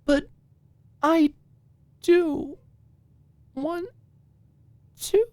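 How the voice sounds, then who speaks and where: A woman voicing an earnest, flustered young woman in an audiobook, pleading in halting, stammering phrases. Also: extremely high pitch, dark tone, no echo, voice pushed up, full character voice. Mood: nervous, apologetic. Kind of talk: audiobook character acting.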